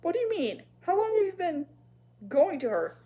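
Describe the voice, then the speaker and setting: A woman's voice: extremely high pitch, very animated, normal speed, mellow, highs cut off, voice pushed up. A woman voicing a shocked, questioning mother in a story read aloud.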